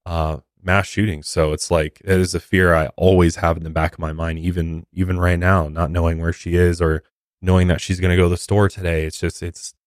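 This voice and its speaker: A man's voice: low voice, flat delivery, a little fast, no room sound, clean studio sound. An American man co-hosting a podcast, talking casually and earnestly in colloquial language. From United States. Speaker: podcast co-host.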